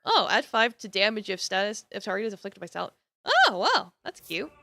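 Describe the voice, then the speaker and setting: A woman's voice: mid-range voice, huge pitch swings, normal speed, creaky, pushed and bright, soft background music. A woman streaming a game, chatting casually with viewers in a giggly, rambling way full of fillers. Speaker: VTuber streamer. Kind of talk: casual live-stream chat while gaming.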